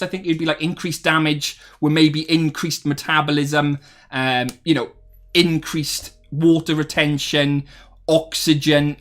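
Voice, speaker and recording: A young man talks casually on a live stream, rambling through his thoughts with frequent ums. His voice sits a little high for a man and brightens whenever he gets excited about his viewers. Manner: chatty and rambling, many ums, upbeat bursts when viewers join. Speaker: streamer hosting a game development talk. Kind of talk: casual live stream chat, reading chat messages aloud.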